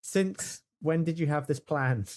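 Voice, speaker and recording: A man's voice: fairly high pitch, measured pace. A man talking casually as a player in a tabletop roleplay game. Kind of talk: casual chat.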